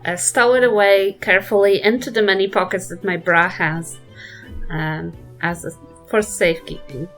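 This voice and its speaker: A woman's voice: fairly low pitch, steady tone, normal speed, fairly bright tone, faint music behind, rough recording. A woman playing a tabletop game, describing her character's actions and often stopping to search for words. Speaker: player. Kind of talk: casual chat at a tabletop game.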